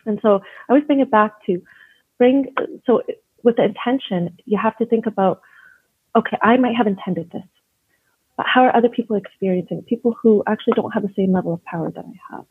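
A woman's voice: mid-range voice, brisk, breathy edge, dry recording. A woman from Canada, an educator being interviewed, speaking earnestly and thoughtfully with frequent restarts. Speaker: educator and guest. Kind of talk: conversational interview. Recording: dry phone-like line.

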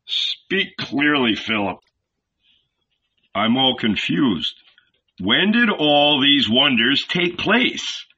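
A man's voice: mid-range voice, sing-song, extremely slow, pauses often, pushed and bright, husky, exaggerated voice. A man voicing a hesitant, big-bodied male cobbler, reluctant and bewildered. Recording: dull lo-fi recording.

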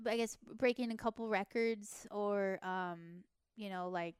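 Soft-spoken young woman, very clear voice, dry close sound, modest, hesitant, casual podcast guest. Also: mid-range voice, measured pace, no room sound. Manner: modest, hesitant, many fillers. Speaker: guest racing driver.

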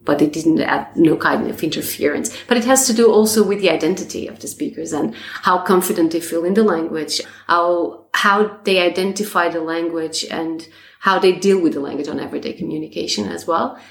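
Guest linguist, female, low husky register, earnest and explanatory. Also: a little fast. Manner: earnest, explanatory, some restarts. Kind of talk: podcast discussion. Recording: roomy echo.

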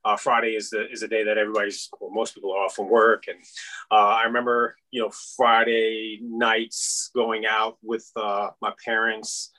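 Male podcast guest, 50s, from Iran, meandering and filler-heavy, bright tone. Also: lowish voice, level tone, normal speed, poor audio. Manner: rambling, many fillers. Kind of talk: casual interview chat.

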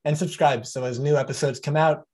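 A man hosts a podcast interview in a slightly higher than average voice. He is encouraging and upbeat, praising his guest's answers and inviting listeners to reach out. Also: fairly even intonation, fairly quick, darkish tone. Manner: encouraging, wraps up with upbeat promo talk. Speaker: podcast host.

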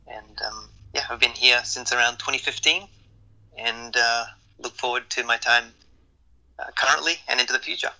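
A man in his thirties, a graduate student, chats on a podcast roundtable. His mid-pitched voice is very bright and sharp. He talks in a relaxed, rambling way, full of fillers. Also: normal speed, creaky, low-quality recording. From Australia. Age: 30s. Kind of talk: casual roundtable interview.

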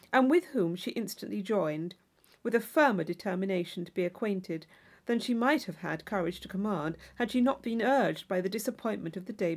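A woman reading scripted narration from a classic novel as an audiobook reader. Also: mid-range voice.

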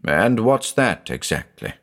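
A man playing an earnest, nervously brave investigator in an audio story, grave and measured. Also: on the low side, sing-song, a bit bright, full character voice. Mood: apprehensive. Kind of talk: audio story character acting.

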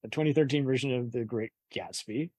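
A man hosts a casual film podcast. His voice is medium in pitch and recorded dry, with little room sound. He talks conversationally. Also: brisk, darkish tone, husky, no room sound. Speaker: podcast host.

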